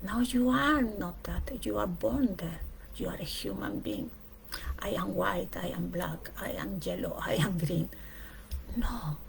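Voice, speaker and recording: Female speaker, for a reflective spiritual talk; unhurried delivery in short weighted phrases, gravelly creak in the voice. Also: mid-range voice, a little hiss or hum, low-quality recording. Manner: deliberate, emphatic phrasing in short pieces with pauses.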